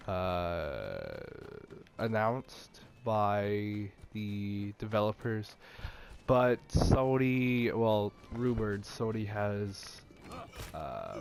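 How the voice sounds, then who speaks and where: A man's voice: on the low side, creaky. A young man with a stuffy nose chatting casually about video games, rambling with many fillers and restarts. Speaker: gaming channel host. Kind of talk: casual gaming vlog chat.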